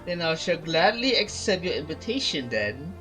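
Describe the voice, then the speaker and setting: A man's voice: a little high, sing-song, moderate pace, bright, forceful tone, soft background music, rough recording. A man giving casual reaction commentary as a gaming streamer during a playthrough.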